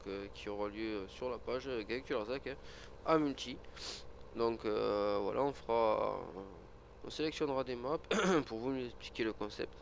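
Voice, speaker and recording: A man's voice: fairly low pitch, slight background noise. A man with a cold talking casually over his farming game, rambling with many fillers and restarts. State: has a cold, sniffling. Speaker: gaming video creator. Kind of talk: casual gameplay commentary.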